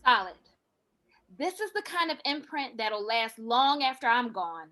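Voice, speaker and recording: A woman acts an ambitious, hopeful woman in a drama read. Her voice is fairly high and moves in animated swoops, full of determination. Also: a little slow, full character voice. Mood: determined, hopeful.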